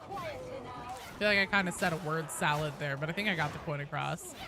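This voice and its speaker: Animated woman streamer over background noise. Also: low voice, normal speed. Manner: joking, teasing, sweary. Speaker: game streamer. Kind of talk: casual livestream chat, reading and answering viewers.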